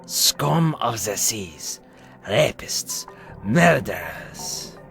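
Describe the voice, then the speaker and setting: Man playing a slow, theatrical sly hunter. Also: lowish voice, very animated, vocal fry, a bit bright, soft background music, full character voice. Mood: grave. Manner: deliberate, formal, mock-polite, dramatic pauses. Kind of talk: audio drama acting.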